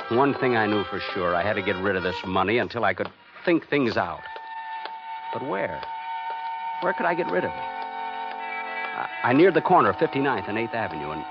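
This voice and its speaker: An actor playing a frantic everyman on the run, narrating a 1950s radio thriller with tense, dramatic phrasing. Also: on the low side. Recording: dull old 1951 radio recording, loud music.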